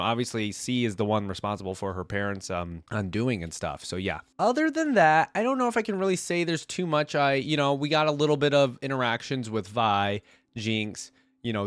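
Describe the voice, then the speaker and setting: A man with a fairly low voice talks through his nose, stuffed up with a cold. He rambles casually like a fan hosting a reaction video, hedging as he goes, in a dry recording with little room sound. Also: clean tone, no echo. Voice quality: nasal. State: congested with a cold.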